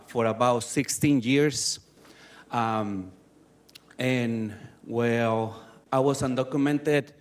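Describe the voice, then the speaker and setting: Slow, earnest man. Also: medium pitch. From Mexico City. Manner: earnest, deliberate, with pauses between phrases. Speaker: community advocate. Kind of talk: personal testimony at a community meeting. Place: community meeting room.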